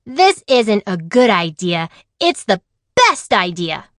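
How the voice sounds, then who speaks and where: A woman voicing an eager, daring, stubborn girl adventurer in a storybook, excited and emphatic. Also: medium pitch, slow, dry recording, clean studio sound. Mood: excited, confident.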